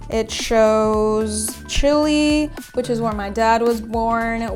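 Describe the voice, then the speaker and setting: A young woman from Puerto Rico, chatting casually and thinking aloud as she reads out her test results. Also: medium pitch. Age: young adult. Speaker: vlogger. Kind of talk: casual reading and commentary on DNA results.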